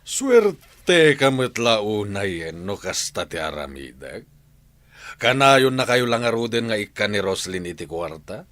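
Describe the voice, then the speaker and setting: Animated, scoffing moneylender. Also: male voice, on the low side, measured pace, no room sound. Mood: mocking, demanding. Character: stern, mocking village moneylender Señor Pedro, unbending creditor. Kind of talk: radio drama acting.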